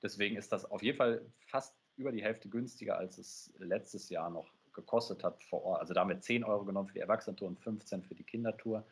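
Low, slightly husky man chatting. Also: fast talker, few pauses. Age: 30s. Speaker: interview guest, audio game creator. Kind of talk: casual interview conversation. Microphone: earphone headset on a video call.

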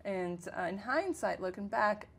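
A woman's voice: mid-range voice, expressive, unhurried, slight background noise. A woman in her thirties, born and raised in Italy, chatting easily as an interview guest with plenty of fillers, recorded on a lapel mic in a small studio. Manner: warm, chatty, frequent fillers. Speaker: guest, tour business owner. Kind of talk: casual interview talk. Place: small studio set, indoors.

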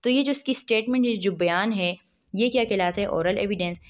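A woman's voice: mid-range voice, brisk. A woman with a Pakistani accent giving an explanatory lecture, teaching by posing questions and then answering them. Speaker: law lecturer. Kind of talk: explanatory lecture, narrated over slides.

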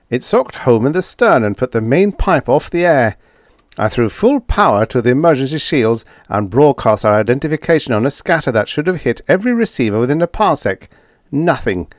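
A man voicing a gaunt, tired starship captain giving a brisk, plain account. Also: medium pitch, big pitch swings, no echo, clean studio sound, pitched above the performer's own voice, close to an everyday voice. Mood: tense. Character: gaunt, weary starship captain, steady and determined.

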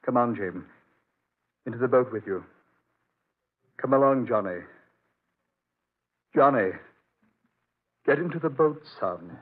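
Guarded doctor, muffled radio sound. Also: male voice, medium pitch, expressive, husky. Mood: firm, anxious. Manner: brisk, firm, evasive. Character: reassuring but guarded doctor, protective father on remote island. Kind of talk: old-time radio drama acting. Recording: muffled narrowband old radio recording.

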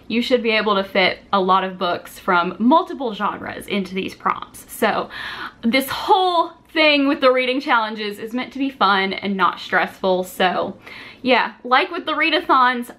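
A young woman vlogger with a very bright, slightly high voice. She talks to the camera informally and rambles a little, often poking fun at herself. It is recorded at desk distance in a small room at home. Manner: rambling, self-deprecating, informal. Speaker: vlogger and shop owner. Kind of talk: casual chat to camera.

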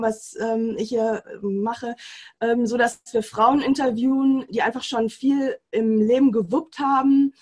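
A woman in her forties hosts an interview over a webcam from a room at home. Her voice is fairly high and breathy, and her talk is eager, with many hesitations. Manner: warm enthusiastic with many fillers. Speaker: interview host, mindset coach. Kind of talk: video call interview.

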